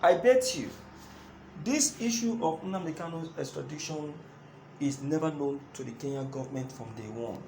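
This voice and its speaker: A man's voice: a little high, a little mellow, poor audio. A man with a Nigerian accent giving opinionated political commentary to his listeners, emphatic and repeating phrases for stress. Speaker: host of a political news commentary show. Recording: clearly audible background noise.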